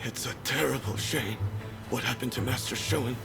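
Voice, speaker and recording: An actor playing a solemn, determined samurai avenger in a video game, speaking with deliberate gravity. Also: male voice, mid-range voice, gravelly, close to an everyday voice. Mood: solemn vow.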